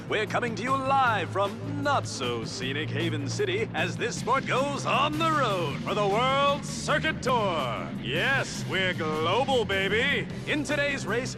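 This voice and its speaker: Flamboyant high-pitched game-show-style race host. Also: male voice. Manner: bombastic, slick, theatrical. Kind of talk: over-the-top sportscast hosting.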